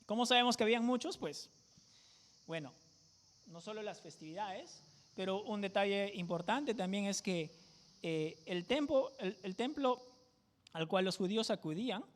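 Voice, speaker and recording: A man with a fairly high voice and a Peruvian jungle accent teaches scripture verse by verse. His delivery is conversational, and he keeps tossing out quick check-in questions to the congregation. The sound carries a long, echoey church-hall reverb. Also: animated. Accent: Peruvian jungle (selva) Spanish. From Peru. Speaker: pastor teaching a Bible study. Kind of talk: verse-by-verse Bible teaching sermon.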